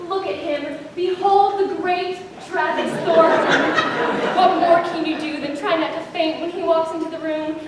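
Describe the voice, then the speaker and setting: A woman's voice: very high voice, fairly even intonation, normal speed, a loud crowd. A teenage girl performing a melodramatic, gushing reading in a school readers theater. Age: teens. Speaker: student performer. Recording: echoey room from far off with noise.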